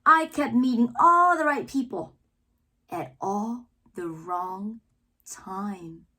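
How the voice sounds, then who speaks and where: Expressive woman reading aloud, slow and lilting. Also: on the high side. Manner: theatrical, drawn-out words with pauses. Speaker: read-aloud host, children's reader. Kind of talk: expressive poetry read-aloud for children.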